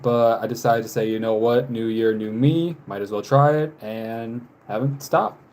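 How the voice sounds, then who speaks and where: A man's voice: medium pitch, fairly even intonation, moderate pace, a little mellow, slight background noise. A man in his twenties chatting casually on a live stream, rambling with frequent laughs and reading out viewer messages. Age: 20s. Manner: rambling, laughs often, reads chat messages aloud. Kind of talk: casual chat while drawing on a live stream.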